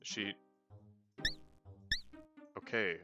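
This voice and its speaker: A man's voice: drawn-out words, muffled recording, music in the background. A man casually commenting while he plays a video game, thinking aloud and hedging often. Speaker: let's play host.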